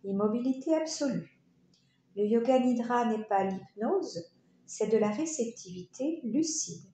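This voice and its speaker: A woman leading a guided yoga relaxation, speaking in short, soothing, unhurried phrases with pauses. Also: fairly high pitch, animated, warm tone. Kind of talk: guided meditation narration. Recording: roomy echo, faint background noise.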